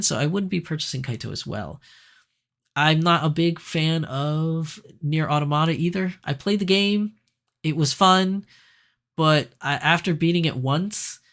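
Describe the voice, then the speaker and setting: A man hosting a casual livestream, chatting and reading viewer comments, his voice raspier than usual because he is sick. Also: mid-range voice. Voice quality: raspy. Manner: chatty, animated, laughs easily, frequent fillers. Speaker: livestream host.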